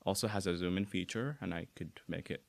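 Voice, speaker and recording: A young man with an Arabic accent talks easily in a very low voice, the sound dry and close. He circles back and restarts often, filling pauses with small words as he goes. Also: creaky, dry recording. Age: young adult. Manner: relaxed, many fillers and restarts. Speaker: guest. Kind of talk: casual interview talk.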